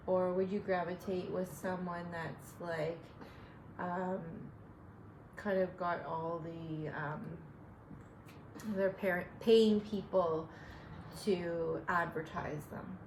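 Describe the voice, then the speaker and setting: A woman with a Trinidadian accent, co-hosting a casual chat and asking lively, rambling questions. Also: lowish voice, reverberant, noisy background. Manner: animated, questioning, many fillers. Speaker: podcast co-host.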